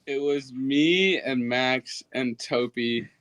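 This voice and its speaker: A man's voice: mid-range voice, slow, fairly bright tone. A nineteen-year-old man, a guest band member, answering casually with slangy, short replies. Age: young adult, 19.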